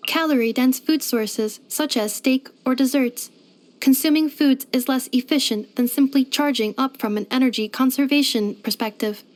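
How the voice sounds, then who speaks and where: A woman's voice: sits high, normal speed, natural voice. A woman voicing a polite, devoted household robot companion in a video game, speaking in formal, precise, computer-like phrasing. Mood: polite, matter-of-fact. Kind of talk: scripted video game character voice lines.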